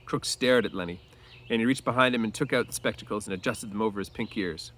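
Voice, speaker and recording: A man narrating a story aloud like a teacher, stopping for informal explanatory asides and clearing his throat. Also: lowish voice, quick pace, fairly bright tone, slight background noise.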